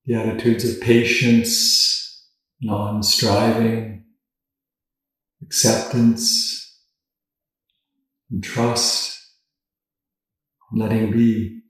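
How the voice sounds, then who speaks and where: Slow, echoey man guiding meditation. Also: fairly low pitch, level tone. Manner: gentle, unhurried, with long pauses between phrases. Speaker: meditation teacher. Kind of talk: guided meditation. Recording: very echoey room, distant from mic.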